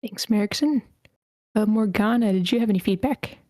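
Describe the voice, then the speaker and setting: A woman with a medium-pitched voice moderates an online table read. She reads written feedback aloud. The sound is dry, with no room echo. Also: moderate pace, dark tone.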